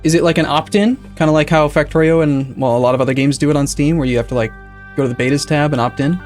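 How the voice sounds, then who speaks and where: A man's voice: fairly high pitch, steady tone, quick pace, a little mellow. A young man live-streaming a game, chatting casually, correcting himself often and answering chat as he sets things up. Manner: rambling, self-correcting, reads and answers chat. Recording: faint music in the background.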